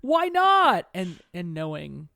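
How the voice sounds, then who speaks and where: Unhurried, lower-pitched woman chatting. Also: wildly swooping pitch, gravelly, bright, forceful tone, no room sound, clean studio sound. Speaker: podcast guest. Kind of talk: casual chat.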